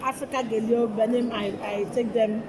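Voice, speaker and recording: A woman's voice: a little high, steady tone, normal speed. A woman from Guinea vlogging in a market, asking prices in simple broken English. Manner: broken English, repeats questions. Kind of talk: casual haggling chat. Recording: noisy crowded market. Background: busy market crowd.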